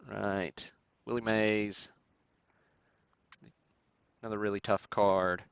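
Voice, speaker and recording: A man hosting a live stream, giving matter-of-fact commentary in a halting way with pauses. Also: on the low side, flat delivery, vocal fry, clear, resonant tone, no echo. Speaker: host of a sports card break stream. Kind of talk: live show-and-tell commentary on vintage cards.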